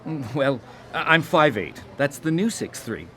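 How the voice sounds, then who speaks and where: A man's voice: a little high, sing-song, a little slow, a bit bright. A man playing an awkward, stammering, defensive blind-dater in a radio drama. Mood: nervous.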